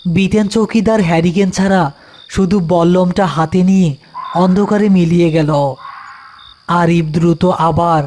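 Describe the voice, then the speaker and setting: A man's voice: high voice, steady tone, measured pace, a little mellow, a little hiss or hum. A man narrating a scripted horror story.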